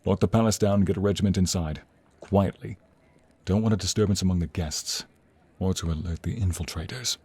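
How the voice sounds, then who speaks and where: A man's voice: low voice, steady tone, fairly quick, a little mellow, breathy edge, no echo. A man playing a commanding, protective king in a dramatic audio role play, formal and regal in his way of talking. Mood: commanding, angry.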